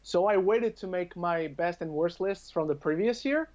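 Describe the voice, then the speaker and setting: A man from Portugal chatting casually about films, telling stories in an animated, emphatic way as a podcast guest. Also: high voice, normal speed, highs cut off. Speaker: podcast guest and film reviewer. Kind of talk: casual film chat.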